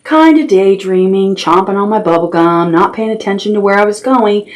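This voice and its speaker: A woman's voice: mid-range voice, normal speed. A woman hosting a children's storytime, reading aloud expressively and talking simply and directly to young listeners. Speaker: library storytime host. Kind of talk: children's storytime with action songs and read-aloud.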